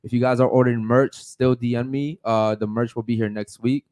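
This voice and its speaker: A young man runs a live podcast in a studio, loose and swearing casually as he hands out shout-outs. His voice sits at a middle pitch. The sound is dry and close. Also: steady tone, fairly quick, no echo. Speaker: podcast host. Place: podcast studio with a panel of guests.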